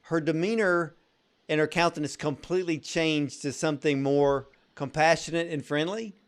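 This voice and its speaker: Earnest male interviewer, somewhat high voice. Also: steady tone, normal speed, smooth. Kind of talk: interview questions.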